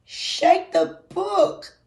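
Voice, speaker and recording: A woman voicing a silly, mischievous, excitable little male monster in a picture book, with exaggerated, drawn-out exclamations for small children. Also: very high-pitched, gravelly, voice pushed up, exaggerated voice. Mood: excited, alarmed. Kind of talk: picture-book character read-aloud.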